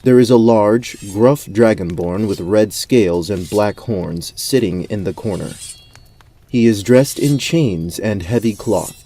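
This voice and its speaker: A man narrating a scripted fantasy story in a measured, descriptive storyteller's manner. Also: on the low side, dark tone.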